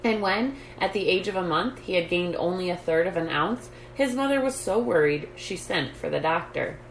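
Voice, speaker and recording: A woman with a lowish voice reads a children's story aloud, deliberately and with emphasis. Her pitch rises and falls in a lively way. The room is echoey. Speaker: storytime host reading a children's book. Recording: roomy echo, background noise.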